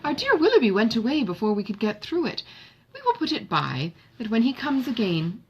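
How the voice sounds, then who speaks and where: An indulgent, genteel mother from a classic novel, acted out by a woman reading aloud. Her voice lifts high and is slightly husky. She speaks earnestly and with emphasis, full of romantic feeling. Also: huge pitch swings, a little fast, muffled recording, heavily acted. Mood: fond, hopeful. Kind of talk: audiobook character dialogue from a classic novel.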